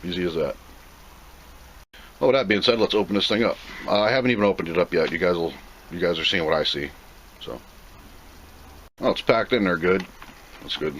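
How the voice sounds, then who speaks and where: A man's voice: deep voice, brisk, pauses often, fairly bright tone, rough recording. A man giving a casual, plain-spoken unboxing commentary, rambling and thinking aloud as he goes. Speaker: video host reviewing a product. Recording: clear background noise.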